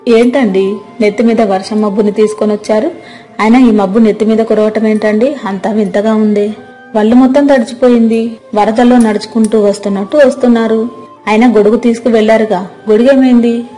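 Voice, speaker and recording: A woman's voice: on the high side, fairly even intonation, fairly quick, mellow, soft background music, lightly acted. A woman voicing a kind-hearted village wife who questions and reproaches her husband in a moral story. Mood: astonished questioning.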